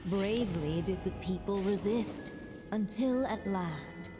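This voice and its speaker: A woman voicing a storybook narrator who tells an old legend in a slow, measured, fairy-tale way. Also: mid-range voice, close to an everyday voice. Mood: solemn. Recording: game music clearly underneath.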